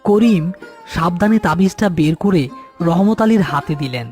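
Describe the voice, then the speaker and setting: Man, high pitch, scripted ghost story narration, soft string music behind. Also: expressive, moderate pace.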